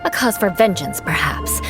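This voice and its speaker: An actress playing a proud young noblewoman knight in a video game, speaking in a haughty, formal, theatrical way. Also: mid-range voice. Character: proud aristocratic young female knight, haughty, vengeful, secretly caring.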